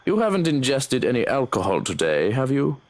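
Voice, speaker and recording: A man voicing a composed, dutiful security man in a horror visual novel, speaking in a measured, formal way. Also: mid-range voice, wildly swooping pitch. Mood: measured, tactful. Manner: measured, formal, matter-of-fact.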